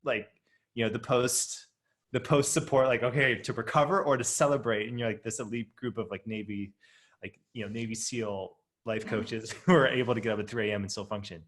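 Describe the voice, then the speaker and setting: A man's voice: mid-range voice, steady tone, fairly quick. Rambling enthusiastic male host. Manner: rambling, enthusiastic, many fillers. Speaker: host, training company president. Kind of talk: interview conversation.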